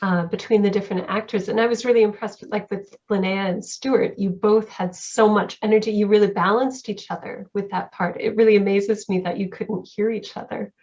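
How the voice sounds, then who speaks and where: A woman's voice: mid-range voice, steady tone, brisk, few pauses, a little mellow, highs cut off. A woman giving appreciative feedback in a casual panel discussion.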